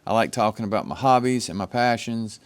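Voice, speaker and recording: A man with a rough, somewhat low voice talks through his engraving gear in a relaxed, rambling way. He wanders and restarts his sentences, filling the gaps with ums. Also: brisk. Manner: meandering, with frequent ums and restarts. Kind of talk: casual show-and-tell explanation. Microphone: microphone.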